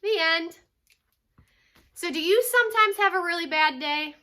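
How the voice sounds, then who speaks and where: A woman's voice: very high-pitched, a little slow, bright, forceful tone. A woman reading a children's picture book aloud as a teacher would, expressively and with dramatic emphasis on key words.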